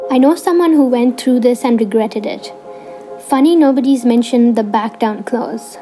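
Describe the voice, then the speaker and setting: Woman as a high-voiced, cynical online commenter. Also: natural voice. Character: cynical female online commenter, gloomy about the system. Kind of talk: reading a forum post in a story.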